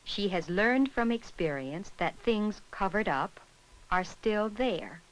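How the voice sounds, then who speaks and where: A woman reading scripted narration for an educational film in a measured, instructional way. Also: medium pitch, animated, poor audio.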